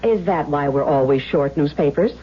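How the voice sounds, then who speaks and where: An actress playing a scolding, sardonic shopkeeper's wife in a radio drama. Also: female voice, low-pitched, normal speed, lightly acted. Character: nagging, sarcastic shopkeeper wife. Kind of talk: 1970s radio drama acting. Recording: muffled old radio with faint noise.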